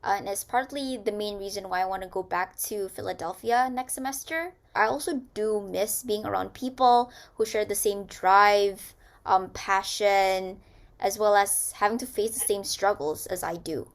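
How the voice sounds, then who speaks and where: A woman's voice: mid-range voice, normal speed. A young woman, a student, speaking sincerely about her experiences over a video call. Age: young adult. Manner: sincere, reflective. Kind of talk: personal talk.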